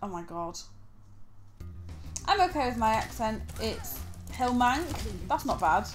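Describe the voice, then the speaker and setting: A woman with an East Manchester accent, speaking in a slightly raised voice, talks in a loose, teasing way and swears freely as she plays a game on stream. Quiet game music runs underneath her voice. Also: fairly high pitch, animated, unhurried. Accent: northern English, East Manchester. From northern England. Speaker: streamer co-host. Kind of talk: casual chat while streaming a game.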